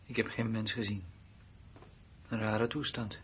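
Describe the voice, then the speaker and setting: Guy acting a blunt soldier left at his post, lowish voice, plain talker, old radio play w some noise. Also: little pitch movement, measured pace, lightly acted. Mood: flat, wary. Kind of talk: Dutch radio drama acting.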